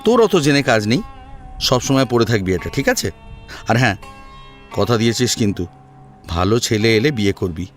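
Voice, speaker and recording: A man's voice: mid-range voice, animated, moderate pace, pauses often, soft background music, pitched below the performer's own voice, natural voice. A man playing an eager, teasing, hot-headed young grandson in an audio story, insistent and emotional. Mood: playful, coaxing. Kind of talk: Bengali audio story acting.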